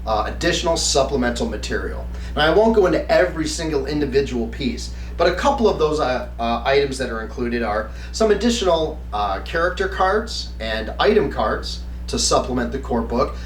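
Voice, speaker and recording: A man hosting a review, explaining to viewers in an enthusiastic but halting, emphatic way. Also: medium pitch, big pitch swings. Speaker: host of a game review channel. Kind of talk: semi-scripted review talk to viewers. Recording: roomy, distant mic with faint hum.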